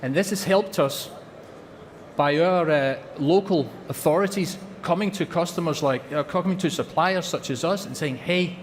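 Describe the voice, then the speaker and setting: A man's voice: fairly high pitch, moderate pace, long reverb tail, slight background noise. A Scottish man giving an earnest presentation at a trade fair, repeating words for emphasis. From Scotland. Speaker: company presenter. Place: large exhibition hall.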